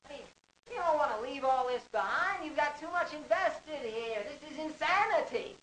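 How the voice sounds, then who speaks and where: A man's voice: very high voice, lively intonation, moderate pace, fairly bright tone, voice pushed up. A man voicing a worried doubter who pleads in exasperation with a reckless friend, within a storytelling speech. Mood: pleading, exasperated. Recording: distant, echoey live recording with hiss.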